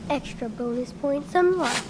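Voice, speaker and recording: A woman's voice: sits high, unhurried, background noise. A woman voicing an imaginative, hesitant ten-year-old boy who mutters playfully to himself in a video game. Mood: playful musing.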